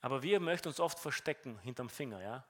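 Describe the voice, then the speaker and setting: A man's voice: medium pitch, lively intonation, fast, a bit bright. A man with a Romanian accent preaching a sermon in an earnest teaching tone, often repeating words as he restarts.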